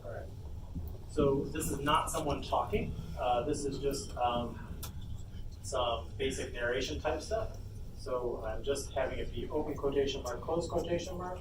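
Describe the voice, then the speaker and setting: A man presenting a live software tutorial informally, haltingly talking through each step as he does it. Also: mid-range voice. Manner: informal, halting narration of own steps. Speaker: workshop presenter, coder. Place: indoor convention panel room. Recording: roomy, distant, noisy panel room recording.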